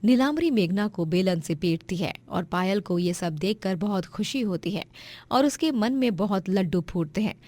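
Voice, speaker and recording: A woman's voice: mid-range voice, lively intonation, normal speed, warm tone. A woman narrating a scripted moral story.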